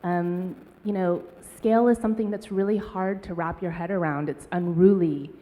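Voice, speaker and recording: Woman panelist, mellow lowish voice, thinks aloud with many ums. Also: steady tone, normal speed. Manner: thoughtful, searching, many ums. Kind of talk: conference panel talk. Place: conference hall. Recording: long reverb of a hall.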